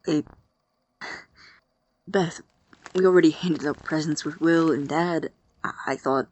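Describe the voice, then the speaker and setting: A man acting in an audio drama as an awkward, earnest, apologetic college student who stammers and speaks softly. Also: on the high side, fairly even intonation, a little slow, slight background noise, lightly acted. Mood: wry. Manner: hesitant, stammering, soft and breathy when sincere.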